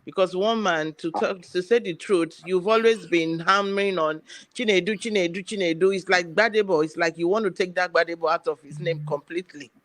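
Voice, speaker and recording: A woman's voice: fairly low pitch, a little fast, no room sound. A woman arguing on a live panel, hesitant with many fillers and restarts.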